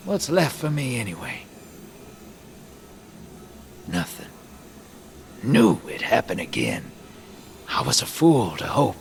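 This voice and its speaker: An actor playing a weary, bitter and resigned loner in a video game, confessing haltingly and sometimes dropping into hushed asides. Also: male voice, medium pitch, creaky, slight background noise, exaggerated voice. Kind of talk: dramatic video game acting.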